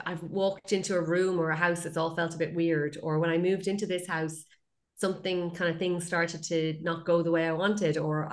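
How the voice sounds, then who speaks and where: A woman speaking as a podcast guest, explaining her practice conversationally with everyday comparisons and examples. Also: deep voice.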